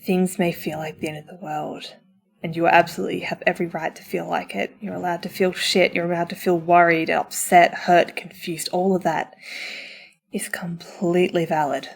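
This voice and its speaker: A woman's voice: on the low side, little pitch movement, moderate pace, creaky, slightly rough. A woman speaking as a supportive friend in a comforting roleplay, chatty and informal, with a theatrical, encouraging manner. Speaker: audio roleplay performer playing a supportive friend. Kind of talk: comforting roleplay, chatty pep talk to the listener.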